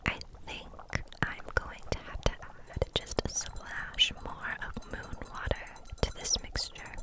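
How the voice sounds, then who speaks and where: A woman playing a gentle, kindly kitchen witch in an ASMR roleplay, whispering softly in a soothing, encouraging way. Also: slow, lightly acted. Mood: cozy, chatty. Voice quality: breathy unvoiced whisper.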